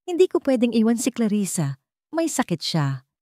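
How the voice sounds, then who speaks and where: A woman's voice: on the high side, very animated, moderate pace, mellow, no echo, studio quality, pitched above the performer's own voice. A woman voicing a desperate, secretive wealthy man in a story, guarded and pleading. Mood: subdued, pleading.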